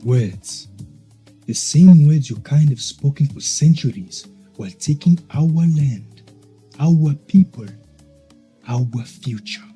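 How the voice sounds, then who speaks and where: Casting: male actor as proud, guarded warrior king for audio drama. Very dark, mellow tone, slow solemn delivery. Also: fairly high pitch, breathy edge, faint music behind, full character voice. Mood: bitter accusation. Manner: measured, solemn, formal speech.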